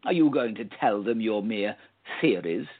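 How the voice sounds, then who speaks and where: An actor playing a smug, condescending time-travelling villain in a radio play, with a theatrical sneer. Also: male voice, fairly low pitch, expressive, moderate pace, slight background noise. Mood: smug, mocking. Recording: tinny phone-like line.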